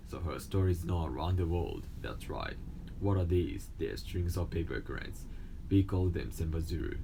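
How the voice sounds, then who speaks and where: A young man whose first language is Japanese, speaking English with a mostly American accent and a slight Japanese trace, reading textbook lessons aloud with halting restarts. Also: sits low, vocal fry, background noise. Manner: halting, with restarts and self-corrections. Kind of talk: casual talk, then reading school textbook passages aloud.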